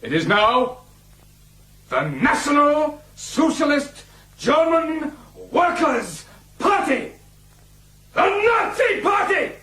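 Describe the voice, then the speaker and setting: High-pitched, fanatical political demagogue. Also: male voice, sing-song, slow, long pauses, heavily acted. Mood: frenzied. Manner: declamatory, builds to shouting. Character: fanatical nationalist demagogue, ex-soldier, haranguing a meeting. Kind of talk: radio drama political oratory.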